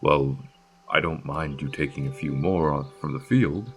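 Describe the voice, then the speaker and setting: A man acting in a fantasy audio drama as a gentle, melancholy guardian of a flower field, speaking in measured, halting phrases. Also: extremely low pitch, a little hiss or hum. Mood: calm, helpful.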